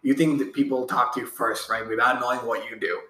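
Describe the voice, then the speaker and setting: A man's voice: mid-range voice, fairly even intonation, fairly quick, pushed and bright. A man hosting a casual interview, asking long, loosely worded, rambling questions. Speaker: interviewer, host. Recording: echoey room.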